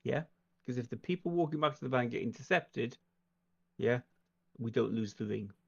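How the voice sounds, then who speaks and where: A man's voice: mid-range voice, expressive, normal speed, gravelly, fairly bright tone, slightly rough, dull audio. A man playing a tabletop game, taking charge and proposing plans in casual chat.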